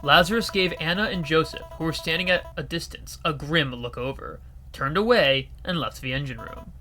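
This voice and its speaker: A man narrates a story in a steady, expressive reading, his voice bright and forceful and pitched a little high. Soft music plays faintly behind him. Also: normal speed. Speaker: narrator reading a visual novel.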